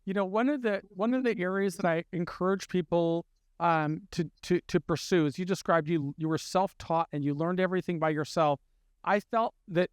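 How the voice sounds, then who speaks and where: Man, interview host, high-pitched, gracious measured questions, dry close sound. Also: smooth, dry recording. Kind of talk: conversational interview.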